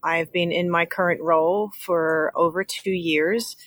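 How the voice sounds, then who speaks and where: A woman from New Zealand with a slightly low voice talks as an interview guest. Her answers are conversational and the sound is dry, with no room echo. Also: steady tone, measured pace. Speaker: podcast guest, executive director.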